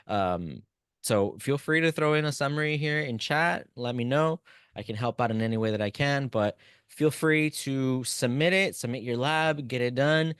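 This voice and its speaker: A man whose first language is Spanish, teaching a live online class and talking to students in chat in a relaxed, encouraging way. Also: medium pitch, smooth, no room sound, clean studio sound. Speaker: instructor.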